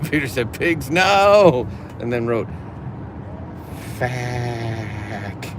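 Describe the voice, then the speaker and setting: A man's voice: medium pitch, drawn-out words, very noisy. A middle-aged man hosting a casual livestream from a car, reading out viewer comments in a chatty, upbeat way and laughing easily. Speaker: livestream host.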